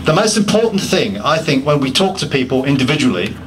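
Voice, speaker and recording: A man's voice: medium pitch, very animated, a little fast, noisy background. A man giving a public talk, listing points emphatically and often restarting his sentences with self-deprecating asides. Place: pub function room.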